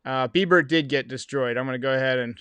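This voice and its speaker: A man in his thirties with a slightly hoarse voice, giving casual, emphatic postgame sports commentary as a livestream host, close on a desk microphone. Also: medium pitch, fast talker, fairly bright tone, no room sound. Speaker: host of a baseball livestream show. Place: small home room.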